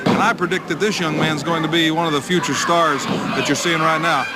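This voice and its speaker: A man's voice: on the high side, steady tone, fairly quick. A man giving chatty, anecdotal ringside commentary at a wrestling show. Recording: loud arena crowd and noise.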